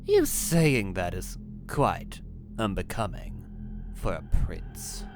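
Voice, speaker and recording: A man playing a sly, taunting demon messenger in an animated roleplay, with a teasing, sarcastic drawl. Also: fairly low pitch, slow pace, over music, full character voice. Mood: dryly taunting. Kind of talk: Minecraft roleplay voice acting.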